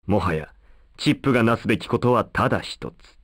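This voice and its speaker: A man narrating a video game story in a formal, solemn, measured manner. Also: lowish voice, lively intonation, fairly bright tone, dry recording, clean studio sound. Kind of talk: scripted dramatic narration.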